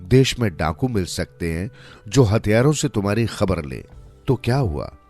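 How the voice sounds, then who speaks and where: A man's voice: on the low side, big pitch swings, normal speed, darkish tone. A man voicing a sage teacher in a moral tale, putting searching questions to a pupil in an instructive way. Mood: probing, instructive. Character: calm sage teacher, probing his disciple. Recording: music under the voice.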